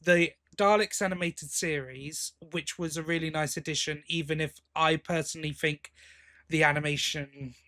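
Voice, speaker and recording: A man's voice: on the high side, steady tone, moderate pace, pushed and bright. A man co-hosting a podcast in casual chat, giving his opinions informally and bluntly. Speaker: podcast co-host.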